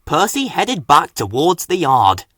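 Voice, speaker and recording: A man reading a children's storybook aloud as a scripted narrator, with an expressive, animated delivery. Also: on the high side, measured pace, no room sound, clean studio sound. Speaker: story reader.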